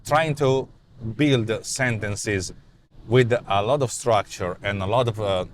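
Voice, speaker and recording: A man's voice: on the low side, a little hiss or hum. A man in his forties with an Italian accent, talking off the cuff in a car about his app ideas, with lots of fillers and repeated words. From Italy. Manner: animated, gestures a lot, many repeats and fillers. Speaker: vlog host and app developer. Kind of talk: casual spoken rant. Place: inside a parked car, daytime. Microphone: clip-on lapel mic.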